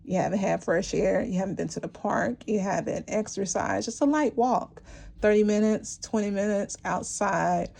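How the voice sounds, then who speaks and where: A woman gives an intuitive tarot reading straight to her viewers, rambling and encouraging, often restarting her sentences. Her voice is mellow, dark and gravelly, and it is wearing hoarse, with frequent throat clearing. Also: medium pitch, moderate pace. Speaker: tarot reader.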